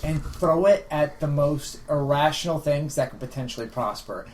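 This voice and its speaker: A man's voice: fairly high pitch, moderate pace. A man in a casual podcast panel discussion, making his points emphatically. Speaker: podcast host. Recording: faint room noise.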